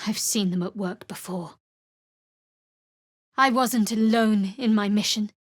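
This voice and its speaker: A woman playing a guarded, sardonic young cleric of a dark goddess in a fantasy game, speaking in a measured, dry, wry way. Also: mid-range voice, dry recording. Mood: solemn, measured. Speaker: video game voice actor. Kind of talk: scripted fantasy game dialogue.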